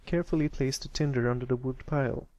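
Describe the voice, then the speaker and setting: A man voicing a calm, caring older brother pony who gives patient advice in a storybook reading. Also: medium pitch, fast, pitched above the performer's own voice, natural voice. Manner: patient, advising.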